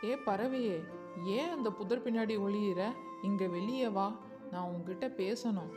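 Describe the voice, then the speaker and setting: A woman plays a storybook pigeon, friendly and sure of itself. The mid-pitched voice coaxes and instructs like a patient teacher. Music is clearly audible behind it. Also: unhurried, lightly acted. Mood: coaxing. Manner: coaxing, instructive. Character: friendly, confident pigeon, coaxing young teacher. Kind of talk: children's bedtime story character.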